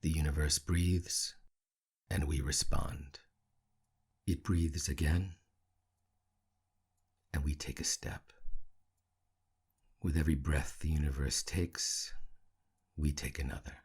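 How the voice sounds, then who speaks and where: Very deep, gravelly man guiding meditation. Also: steady tone. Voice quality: gravelly, husky. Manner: hushed, soothing, with long pauses between short phrases. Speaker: meditation teacher. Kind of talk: guided meditation.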